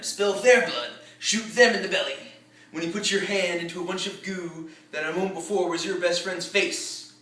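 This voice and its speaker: A man performing a theatrical war-movie monologue as a tough, commanding American army general, emphatic and deliberate with dramatic pauses. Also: on the high side, bright, forceful tone. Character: tough, profane American army general rallying his troops. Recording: roomy echo, distant microphone.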